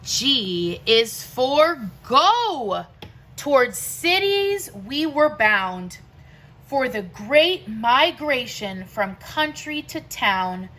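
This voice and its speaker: Very slow, bright-voiced woman reading to children. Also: a little high, big pitch swings. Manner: deliberate, emphatic phrasing with pauses. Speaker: teacher reading a picture book aloud. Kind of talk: expressive children's read-aloud of rhyming verse.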